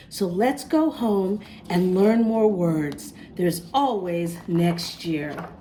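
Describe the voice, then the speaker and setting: A woman's voice: medium pitch, mellow. A woman reading a picture book aloud to young children, with asides to the little listeners. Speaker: children's storybook reader and host.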